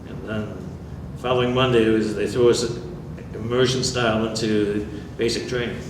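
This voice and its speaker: Older male veteran, low voice, measured and dry; roomy, distant, noisy recording. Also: fairly even intonation. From New York State. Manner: plainspoken, dry humor, measured. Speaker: veteran interviewee. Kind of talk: oral history interview. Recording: echoey room, far mic, background noise.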